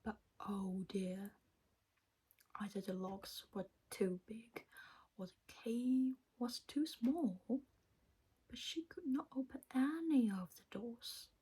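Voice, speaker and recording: A non-native English-speaking woman reading a bedtime story in a hushed, breathy ASMR whisper. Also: on the high side. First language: not English. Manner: slow, hushed, deliberate.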